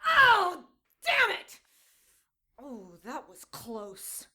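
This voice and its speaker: A woman's voice: very high voice, huge pitch swings, drawn-out words, background noise, exaggerated voice. An actress playing a brash, defiant captive witch in an audio drama, sassy and emphatic. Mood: furious, defiant. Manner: emphatic, hot-headed, sassy.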